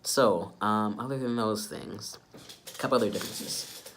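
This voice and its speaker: A young man with a low-pitched voice talks casually to viewers in a personal vlog. He sounds sick and stuffed up, with a nasal congestion and a subdued energy. There is noticeable noise in the recording. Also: normal speed, fairly bright tone. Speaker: vlogger. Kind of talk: casual update vlog talk to camera.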